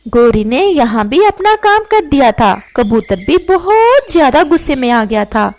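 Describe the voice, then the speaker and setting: A woman's voice: high-pitched, big pitch swings, measured pace, a little mellow. A woman narrator reading a scripted story for children.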